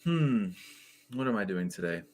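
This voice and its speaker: A man's voice: on the low side, big pitch swings, normal speed. A man in his early thirties, co-hosting a live podcast in a laid-back, wry casual chat. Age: 30s. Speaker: co-host.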